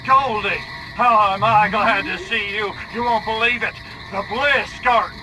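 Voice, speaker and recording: An actor playing a wisecracking, cocky, lecherous alien invader in a sardonic comic video game style. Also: male voice, sits high, steady tone, a little slow, noisy background. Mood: eager, flustered. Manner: sardonic wise guy.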